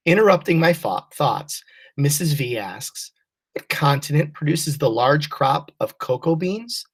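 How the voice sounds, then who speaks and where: Husky-voiced teacher reading aloud. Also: medium pitch. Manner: encouraging, prompting readers. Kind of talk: reading a children's novel aloud to a class.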